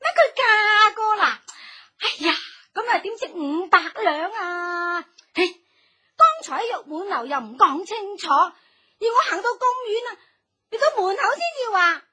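An actress playing a shrewd, indignant brothel madam who scolds and haggles in a Cantonese radio drama. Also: female voice, very high voice, animated, a little slow, heavily acted. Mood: indignant complaining. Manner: sharp, indignant, exclaiming.

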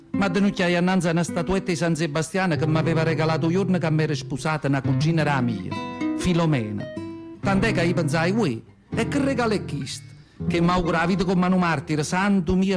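A man's voice: sits high, natural voice. Man playing a plaintive, ancient southern Italian woman over guitar. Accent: Neapolitan-area southern Italian (Campania). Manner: folksy dialect storytelling, plaintive, repeats words for emphasis. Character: nearly hundred-year-old poor southern Italian peasant woman, resilient, devout. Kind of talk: theatrical radio monologue of memories. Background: acoustic guitar music.

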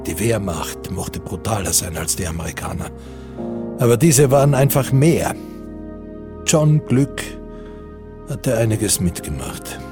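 An actor playing a wry narrator of a wartime radio drama, reading literary narration in a measured, dry way. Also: male voice, fairly low pitch, expressive, over music. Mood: grave.

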